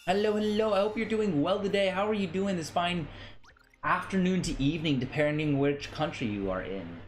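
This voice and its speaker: A young American man chatting casually on a game stream, animated and playful, often stammering and repeating phrases. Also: high-pitched, normal speed, soft background music. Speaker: livestreamer, VTuber.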